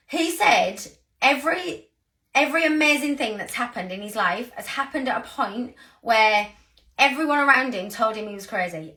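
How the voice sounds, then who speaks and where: A woman in her thirties with a croaky voice, giving an earnest, rambling motivational talk to her team. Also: fairly high pitch, pushed and bright. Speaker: sales team leader.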